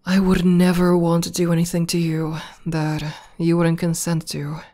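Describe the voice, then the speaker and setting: A woman playing a tough tomboy demon girlfriend, shy, tender and devoted, in an intimate ASMR roleplay with a soft, hesitant delivery. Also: on the low side, completely flat delivery, moderate pace, natural voice. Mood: tender, vulnerable. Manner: soft, hesitant, bashful, breathy dips into whisper.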